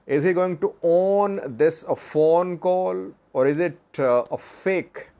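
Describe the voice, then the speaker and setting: A man giving unscripted news commentary as a channel host, speaking haltingly with many filler sounds and posing rhetorical questions. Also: high voice, gravelly, mellow, clear, resonant tone. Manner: halting, with long hesitations and frequent filler sounds.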